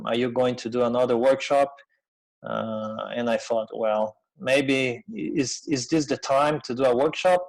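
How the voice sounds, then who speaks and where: A middle-aged man from Brazil, a native Portuguese speaker with a Brazilian accent, gives an informal webinar presentation in a hesitant, conversational way with frequent pauses. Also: mid-range voice, a little mellow, poor audio. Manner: hesitant, conversational, pausing often.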